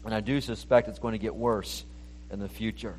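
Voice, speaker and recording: A man preaching a sermon, earnest and steady, his voice rising when he reads scripture aloud. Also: fairly low pitch, brisk, a little hiss or hum, low-quality recording. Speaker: pastor.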